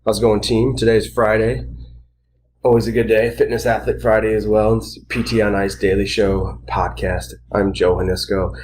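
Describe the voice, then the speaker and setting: Nasal-sounding man, deep voice, relaxed rambling host of a live podcast. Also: moderate pace, slight background noise. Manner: relaxed, rambling with many fillers. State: nasal from a sinus infection. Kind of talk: casual live educational podcast.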